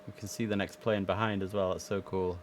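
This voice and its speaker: A man with a fairly low voice doing livestream game commentary. He drifts between casual chat to himself and clipped controller instructions, with clear game ambience and noise behind him. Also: little pitch movement, a little fast. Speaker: streamer playing an air traffic controller. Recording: clear background noise under the voice.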